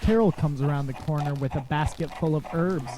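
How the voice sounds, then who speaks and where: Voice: mid-range voice, a little fast, over a noisy crowd, rough recording. A narrator reading scripted scene descriptions for an audio drama.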